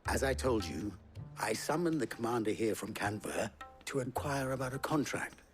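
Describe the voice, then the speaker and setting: A man playing a sly, smooth-talking advisor in a medieval fantasy game, with a theatrical delivery. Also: mid-range voice, animated, normal speed, soft background music, lightly acted. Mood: showy. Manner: theatrical, silver-tongued. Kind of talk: dramatic video game cutscene dialogue.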